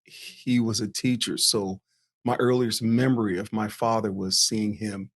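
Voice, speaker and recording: A man's voice: lowish voice, level tone, measured pace. A man telling stories from his childhood as a podcast guest, laughing often and poking fun at himself. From US East Coast (New Jersey, Virginia). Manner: laughs often, self-deprecating storytelling. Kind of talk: casual interview storytelling.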